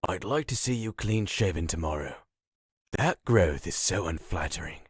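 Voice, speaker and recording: A man playing a formal, patronising institute doctor in a comic dub, speaking in a measured, husky voice. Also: low voice, animated, no room sound, studio quality. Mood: pleasant, condescending. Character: formal, smooth-talking, satisfied male institute doctor.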